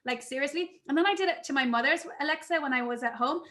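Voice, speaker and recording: A woman speaking casually on a video call panel, an animated storyteller who acts out the lines she quotes. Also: high voice, fast.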